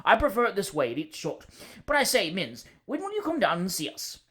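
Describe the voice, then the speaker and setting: A reader booming as a loud hearty gentleman. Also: wildly swooping pitch, a little fast. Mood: boisterous. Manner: booming and repetitive. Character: loud, hearty, overfamiliar middle-aged man.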